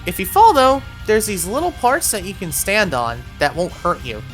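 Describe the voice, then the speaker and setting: A woman narrates a video game guide with a lively, swinging pitch. She talks the player through each move in clear instructional steps, adding cautions as she goes. Music plays behind her voice. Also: moderate pace. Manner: addresses the player with step-by-step cautions. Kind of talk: voiced walkthrough commentary, instructional.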